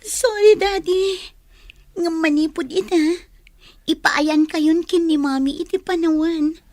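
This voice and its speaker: A woman's voice: very high-pitched, fairly even intonation, takes it slowly, a little mellow, dry recording, exaggerated voice. A woman with a Filipino accent playing an emotional, apologetic, devoted daughter in a radio drama.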